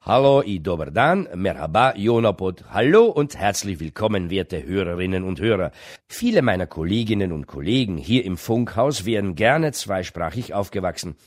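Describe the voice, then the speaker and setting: A man, reading a scripted radio feature in a lively, emphatic way. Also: low-pitched, normal speed, dry recording, studio quality. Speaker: radio reporter.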